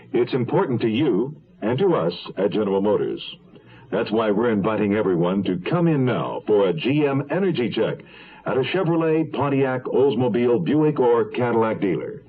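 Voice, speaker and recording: A man's voice: medium pitch, breathy edge. A man reading a scripted 1970s radio advertisement as a commercial announcer. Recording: thin, phone-like old radio broadcast.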